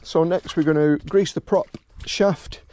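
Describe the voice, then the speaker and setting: A man's voice: on the high side, fairly even intonation, a little slow, dark tone. A middle-aged man, a boat mechanic, talking through an outboard service in a chatty way with dry humor, recorded outdoors on a head-worn camera. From Wales. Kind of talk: hands-on how-to vlog narration. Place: outdoors beside a boat trailer by grassy dunes. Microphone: head-mounted camera mic. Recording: noisy outdoor camera audio.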